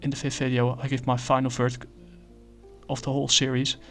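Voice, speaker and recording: Halting man reviewing comics, flat delivery. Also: mid-range voice, soft background music. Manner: halting, many fillers and restarts. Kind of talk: casual spoken review.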